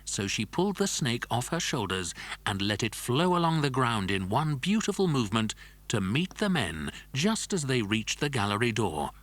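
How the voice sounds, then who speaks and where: A man's voice: medium pitch, very animated, moderate pace, a bit bright, no echo. A man narrating a scripted children's adventure story.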